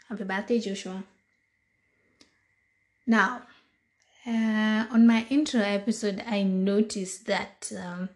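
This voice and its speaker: A Kenyan woman in her thirties, a little hoarse, hosting a casual solo podcast and chatting slowly with many pauses and fillers. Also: medium pitch. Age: 30s. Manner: slow, deliberate, chatty with frequent fillers. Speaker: podcast host.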